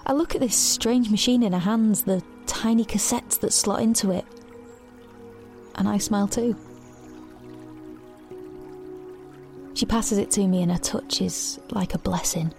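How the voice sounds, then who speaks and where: A woman's voice: mid-range voice, normal speed, natural voice. An actress playing an anxious, grieving teenage girl who narrates her own story in an intimate audio drama. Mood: wistful. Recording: studio recording over soft music.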